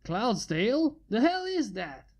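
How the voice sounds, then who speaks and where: A smug, arrogant inventor who answers everything with sarcasm and boasts of his brilliance, read by a woman. The voice sits fairly high and moves a lot, dry and mocking. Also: very animated, measured pace, a little mellow. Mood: sarcastic.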